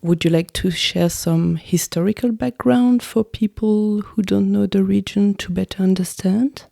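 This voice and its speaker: A woman hosting an interview podcast, speaking in a measured, articulate way as she gives scripted introductions and asks questions. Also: medium pitch, fairly even intonation, gravelly, dark tone, no room sound, clean studio sound.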